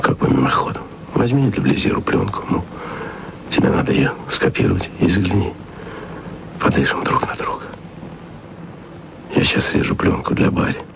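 An actor playing a lonely, sentimental radio tape editor in love, confiding in short, hushed, wistful phrases in an intimate radio monologue. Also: male voice, low voice, vocal fry, noisy background, exaggerated voice. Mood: anxious longing, then wistful, nostalgic.

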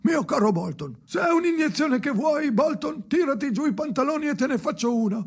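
A man's voice: extremely high pitch, sing-song, fairly quick, a little hiss or hum, pitched above the performer's own voice, heavily acted. A man playing an exasperated, indignant doctor protesting emphatically in an experimental radio drama. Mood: exasperated, shouting. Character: exasperated doctor Holloway, protesting loudly to his old friend.

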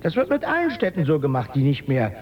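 Nervous space traveller in a radio play, highish voice, stammery, muffled old recording. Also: male voice, huge pitch swings, fast talker. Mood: insistent. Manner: hesitant, stammering, agitated. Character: anxious, doubting male space traveller stranded in a strange city. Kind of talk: German radio drama acting. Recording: dull lo-fi radio recording, faint rumble.

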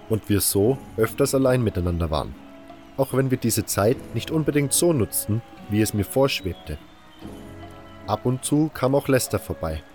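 Guy as fantasy convict narrator, kinda low voice, matter-of-fact storytelling, audio drama w soft music under it. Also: lightly acted. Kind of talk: scripted first-person audio drama narration.

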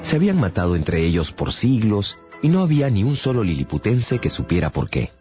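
A man's voice: fairly low pitch, a little fast. An actor playing an earnest, courteous traveler, a giant among tiny people, telling his adventures in a radio drama. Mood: storytelling. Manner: courteous, earnest, expressive storyteller. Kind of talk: storytelling narration in radio drama. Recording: dull sound with music behind.

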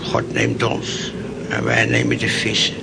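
A man's voice: on the low side, big pitch swings, measured pace, vocal fry, full character voice. A man acting a pious, fatalistic old fisherman in a radio play, rambling and hesitant in a folksy way. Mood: pious musing. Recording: very noisy old radio recording.

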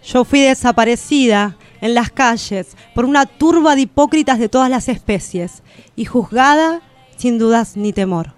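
An actress as long-suffering defiant woman, clear resonant voice, solemn emphatic recitation, radio drama, music behind. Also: fairly high pitch, lively intonation, normal speed, natural voice. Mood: aching, accusing. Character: long-chained everywoman, aching yet unbroken and defiant. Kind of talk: declamatory radio theatre recitation.

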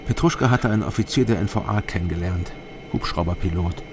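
Creaky-voiced, weary male investigator. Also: deep voice, quick pace, noisy background. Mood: sober narrating. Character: weary, disillusioned East German male investigator telling his story. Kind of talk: radio crime drama acting and terse narration. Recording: studio drama with music behind.

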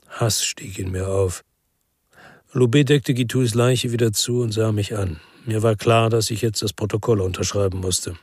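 An actor playing a world-weary, brooding ex-policeman, narrating and speaking in a literary crime drama in a measured, restrained way. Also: lowish voice, a little mellow, slightly rough, no room sound, studio quality. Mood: shaken, sombre.

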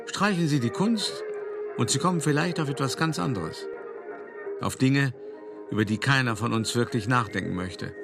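An actor playing a calculating, urbane male art dealer who recounts his past deliberately and confidingly in a radio play monologue. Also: mid-range voice. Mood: earnest, persuasive. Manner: deliberate, confiding, addressing the listener directly. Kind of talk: first-person dramatic monologue in a German radio play.

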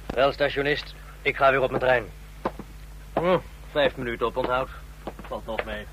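An actor as a fussy yet easygoing railway conductor. His voice is forceful and bright at a middling pitch. The radio play recording carries faint noise. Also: moderate pace, natural voice, poor audio. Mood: matter-of-fact. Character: fussy but easygoing male train conductor. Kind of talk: radio drama acting.

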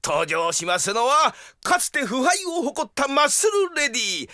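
A man's voice: very high-pitched, big pitch swings, moderate pace, bright, forceful tone, no room sound, clean studio sound, heavily acted. An actor playing a cranky, bossy old town mayor in an audio drama, with blustery old-man speech. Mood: showy. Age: older. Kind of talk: Japanese audio drama acting.

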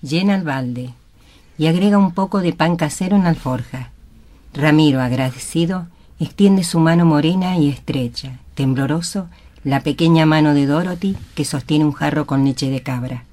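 A woman giving a solemn, literary narration for a radio drama in a measured way. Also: low-pitched, warm tone. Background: faint music.

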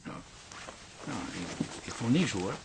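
Casting: a plain-spoken, caring grown son, lively intonation, natural radio drama, noisy recording. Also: male voice, mid-range voice, slow. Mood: worried.

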